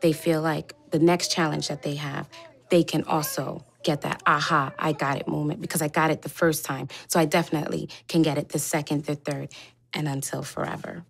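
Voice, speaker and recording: Deep creaky-voiced woman. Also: flat delivery, brisk. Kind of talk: interview.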